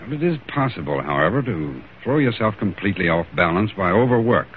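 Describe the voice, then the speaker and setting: An actor playing a stern but calm physician in 1940s radio drama, speaking with grave, measured authority. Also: male voice, sits low. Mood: grave, firm. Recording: muffled, hissy old radio recording.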